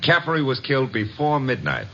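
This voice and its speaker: A man's voice: medium pitch, sing-song, moderate pace, sharp tone, heavily acted. An actor playing a tough, cocky detective's legman in an old radio drama, slangy and wry. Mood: brisk, puzzled. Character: tough, wisecracking male private-eye legman, cocky. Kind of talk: 1950s radio detective acting. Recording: noisy, dull vintage radio recording.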